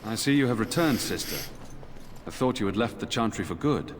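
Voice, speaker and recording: An actor playing a dutiful, weary knight commander in a fantasy video game, speaking formally and gravely. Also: male voice, fairly low pitch, lively intonation, a little fast, noisy background, natural voice. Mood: formal, guarded. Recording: game dialogue in long reverb under music.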